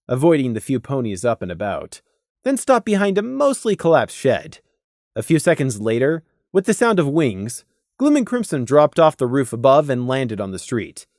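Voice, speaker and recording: Expressive storybook narrator, close dry sound. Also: medium pitch, no echo. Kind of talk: scripted audiobook narration of fan fiction.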